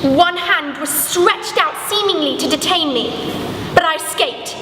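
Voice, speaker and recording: A woman's voice: extremely high pitch, measured pace, far from the mic. A young woman playing a horrified Gothic scientist and dreamer in a solemn dramatic reading, recorded in a noisy, echoey hall. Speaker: pupil performer in a school production.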